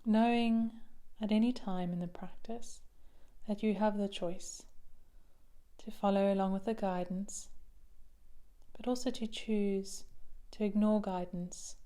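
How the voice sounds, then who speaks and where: Slow, mellow woman guiding meditation. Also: medium pitch, fairly even intonation. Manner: soothing, unhurried, with long pauses. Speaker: mindfulness meditation teacher. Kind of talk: guided body scan meditation.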